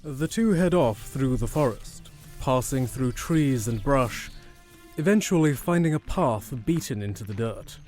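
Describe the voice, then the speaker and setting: A man narrates a scripted fantasy adventure. His voice sits at a middle pitch and describes the scene as a storyteller does. Faint music plays behind him. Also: expressive, measured pace, a little mellow.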